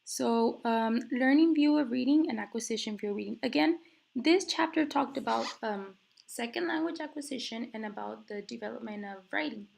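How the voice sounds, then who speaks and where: Gravelly-voiced woman with a cough. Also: fairly high pitch, normal speed, a little mellow, slight background noise. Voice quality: gravelly fry. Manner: casual, with many fillers. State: recovering from illness, coughing. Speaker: student presenter. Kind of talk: reading from slides and explaining.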